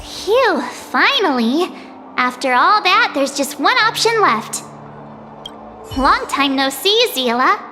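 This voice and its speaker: An actress voicing a perky, chatty young woman traveler in a video game, bubbly and expressive. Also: extremely high pitch, slow, heavily acted. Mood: uneasy, pondering. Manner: bubbly, informal, expressive with hums and sighs. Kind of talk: English video game voice acting. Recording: reverberant, with music underneath.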